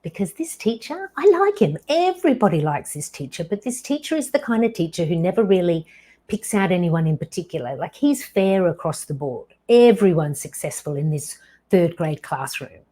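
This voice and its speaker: A woman in her fifties hosting her own show, telling a personal story slowly and deliberately, with dramatic pauses. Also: on the low side, big pitch swings, warm tone. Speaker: podcast host.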